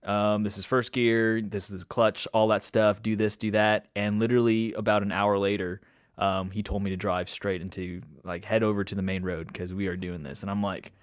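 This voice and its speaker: Young man from Indonesia, rambling conversational guest with a low voice, dry close sound. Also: little pitch movement, moderate pace, smooth, no echo. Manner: rambling storyteller, many fillers and restarts, laughs often. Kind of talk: casual conversation.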